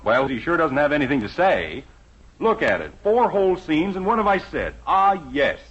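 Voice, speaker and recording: A man's voice: fairly high pitch, very animated, normal speed, a bit bright, breathy edge, exaggerated voice. A man playing a grumpy, sarcastic middle-aged father in a 1950s radio sitcom, delivering exasperated wisecracks dryly. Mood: grumbling sarcasm. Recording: dull vintage radio recording, faint noise.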